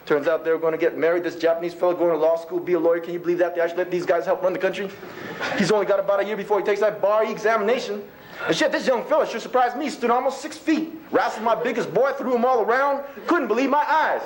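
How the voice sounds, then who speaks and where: Man playing a high-pitched folksy old rancher. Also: fast talker, slight background noise, natural voice. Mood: bewildered, softening. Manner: folksy drawl, exclaiming, colloquial rural speech. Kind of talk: dramatic monologue poem recited live.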